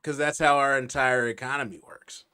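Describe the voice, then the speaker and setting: Podcast co-host, male, American, opinionated and profane, with a forceful, clean voice. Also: medium pitch, steady tone, normal speed, clear, resonant tone. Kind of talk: casual chat.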